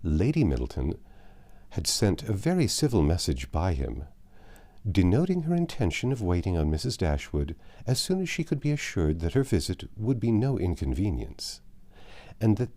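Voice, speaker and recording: A man reads literary prose as an audiobook narrator. His low voice is soft-edged and mellow, and his pitch rises and dips widely through each measured sentence. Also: sing-song.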